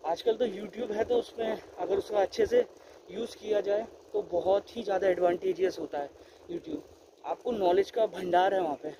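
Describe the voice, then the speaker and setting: A man's voice: high voice, fairly even intonation, moderate pace. A young man from India, cycling outdoors and earnestly giving friendly advice to his viewers, recorded on the camera mounted on his handlebars. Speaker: cycling vlogger. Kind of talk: casual vlog talk to camera while riding. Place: outdoors by an empty stadium, riding a bicycle. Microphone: handlebar-mounted camera mic. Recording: outdoor camera audio with loud ambient noise.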